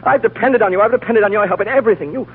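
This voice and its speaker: A frantic high-pitched young man, tinny radio. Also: very fast, breathy edge. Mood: frightened, pleading. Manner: agitated, stammering. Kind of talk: 1940s radio drama acting. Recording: tinny 1940s radio broadcast.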